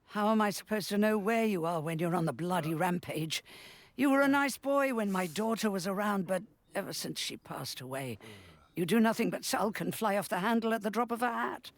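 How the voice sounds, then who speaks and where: A woman's voice: mid-range voice, animated, a little fast, no echo, close to an everyday voice. A woman playing a fierce, scolding old granny, swooping delivery. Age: older. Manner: scolding, blunt, sardonic. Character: fierce, bitter, foul-mouthed old granny, grieving mother-in-law. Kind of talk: video game cutscene acting.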